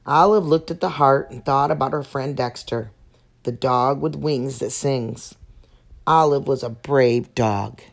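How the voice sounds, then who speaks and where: A woman's voice: rumbling low, measured pace, creaky. A woman storyteller reading a children's picture book aloud.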